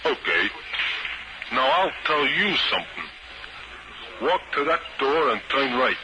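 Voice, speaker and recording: An actor playing a big, surly pool-room tough in a 1950s radio drama, curt and slangy. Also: male voice, mid-range voice, big pitch swings, a little slow. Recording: very noisy old radio recording.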